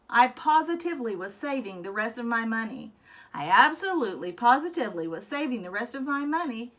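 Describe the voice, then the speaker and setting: A woman reading a children's picture book aloud expressively, telling it as a young boy, with dramatic emphasis and drawn-out rueful phrases. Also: high-pitched, fairly bright tone. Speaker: storybook reader. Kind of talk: expressive read-aloud of a children's story in a young boy's first person.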